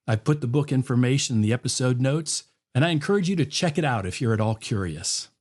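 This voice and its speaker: A man hosting a podcast, reading an earnest, measured introduction. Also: mid-range voice, expressive, a little mellow. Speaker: podcast host.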